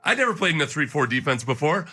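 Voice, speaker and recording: Bright, forceful middle-aged man, sports radio banter. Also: fairly high pitch, sing-song, quick pace, husky, faint music behind. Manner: jokey, exaggerating storytelling. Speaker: sports radio co-host, former pro football player.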